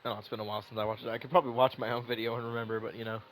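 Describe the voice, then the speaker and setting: A man's voice: medium pitch, flat delivery, very fast, crowd noise, poor audio. A man giving rambling, wry, casual reaction commentary on a video game story. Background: game music.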